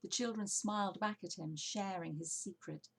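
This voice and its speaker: A woman narrating a children's book aloud in an expressive storytelling style. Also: medium pitch, moderate pace, creaky, slightly rough. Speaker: storybook reader.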